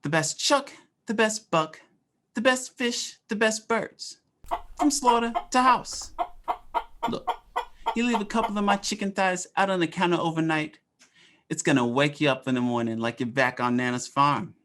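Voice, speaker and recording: A woman's voice: fairly low pitch, normal speed, fairly bright tone, a little hiss or hum, natural voice. A woman playing a folksy, pushy male butcher who gives a wisecracking, streetwise hard sell in an old-time radio ad. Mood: boastful. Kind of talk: 1940s radio ad acting.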